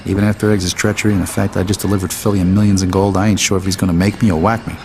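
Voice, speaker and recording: An actor playing a world-weary, blunt American mobster in a gritty crime game. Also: male voice, low-pitched, level tone, very fast, a little mellow, faint music behind. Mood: weary, reflective. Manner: tough, blunt, streetwise. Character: world-weary, hard-bitten male mobster, loyal to family. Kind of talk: gritty crime drama narration and dialogue.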